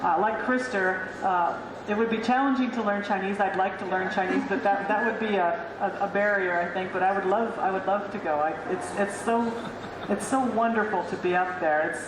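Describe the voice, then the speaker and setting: A woman's voice: medium pitch, moderate pace, noisy background. Woman speaking fluently in a very echoey hall. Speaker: astronaut panelist. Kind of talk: panel answer. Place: large conference hall.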